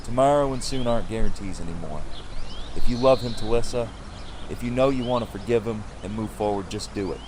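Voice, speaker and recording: Man acting low-voiced, weary, earnest leader. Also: fairly quick, close to an everyday voice. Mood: tender, urging. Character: weary, earnest male community leader, grieving widower. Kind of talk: audio drama acting. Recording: clearly noisy outdoor ambience behind voice.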